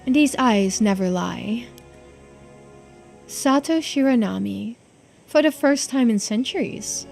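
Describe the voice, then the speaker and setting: Woman doing a smug, drawling investigator with swooping pitch. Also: vocal fry, darkish tone, exaggerated voice. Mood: smug, taunting. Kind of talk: visual novel character voice.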